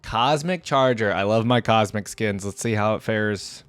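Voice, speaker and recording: A man's voice: on the low side, normal speed, clean tone, no room sound. A man giving casual, off-the-cuff reaction commentary as a video host, thinking aloud in an informal way.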